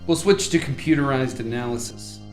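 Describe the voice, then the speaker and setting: Radio play lead scientist, acted: calm authority, roomy sound. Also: mid-range voice, fairly quick, soft background music, close to an everyday voice. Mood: authoritative. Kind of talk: radio drama acting.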